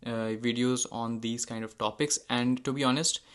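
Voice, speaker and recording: A young man whose first language is Hindi talks through his thoughts in an unscripted monologue. His pitch sits in the ordinary middle range for a man. He hesitates often, restarting sentences and searching for words. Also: steady tone, normal speed, fairly bright tone, smooth. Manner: hesitant, many fillers and self-corrections. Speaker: channel host.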